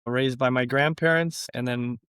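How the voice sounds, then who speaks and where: A man's voice: mid-range voice, fairly even intonation, moderate pace, a bit bright, smooth, dry recording, studio quality. A middle-aged man with an American accent, chatting casually as an interview guest, whose first language was Yiddish. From born in Lithuania, grew up in Russia and the US Midwest. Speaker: interview guest, company president. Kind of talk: casual chat.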